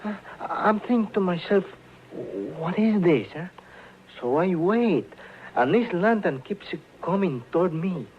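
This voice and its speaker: Halting, higher-voiced Mexican townsman. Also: animated. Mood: spooked. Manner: halting, broken English. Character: humble, chatty, sheepish Mexican townsman. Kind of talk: old-time western radio drama acting. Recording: dull, hissy old radio recording.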